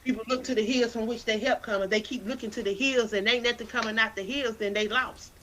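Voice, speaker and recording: A woman with a South Carolina Southern accent, a guest in a casual interview, talking in an animated, preacherly way and often repeating words as she builds a point. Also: fairly high pitch, quick pace, a little hiss or hum, poor audio. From Anderson, South Carolina. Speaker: guest, literacy campaign founder.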